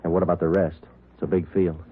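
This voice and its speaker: An American actor playing a terse, world-weary police detective sergeant in a 1940s radio drama, with a dry, matter-of-fact delivery. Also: male voice, sits low, fast, husky. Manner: clipped, matter-of-fact, deadpan. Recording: noisy, narrow-band old radio recording.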